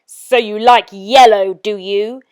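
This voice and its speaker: A woman voicing a wicked male wizard in a children's storybook, with a booming, taunting, gloating delivery. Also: fairly even intonation, slow pace, darkish tone, smooth, no room sound, natural voice.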